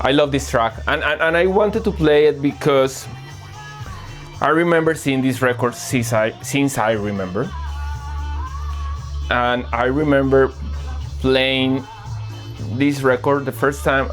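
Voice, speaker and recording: A man talks casually and earnestly about records as a guest selector. His voice sits at a middling pitch, and he often pauses to find the right word. Soft music plays quietly beneath him. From Colombia. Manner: earnest, pauses to search for words. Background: faint music playing.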